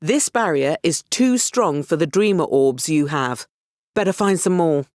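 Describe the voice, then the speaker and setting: An actress playing an encouraging, wry mentor in a video game, expressive and instructive as she guides a young hero. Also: sits low, unhurried, no room sound, clean studio sound. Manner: expressive, instructive, gently apologetic. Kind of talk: scripted video game character lines.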